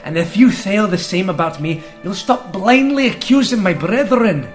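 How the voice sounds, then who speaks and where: An actor playing a devout, hot-headed but warm priest-warrior with an in a fantasy audio drama. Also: male voice, high voice, expressive, normal speed. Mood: fed up, firm. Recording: music behind the voice.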